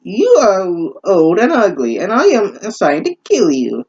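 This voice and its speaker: A man voicing a defiant young woman assassin in a melodramatic falsetto, reading a story aloud. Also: huge pitch swings, a little slow, darkish tone, husky, dull audio, heavily acted. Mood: threatening.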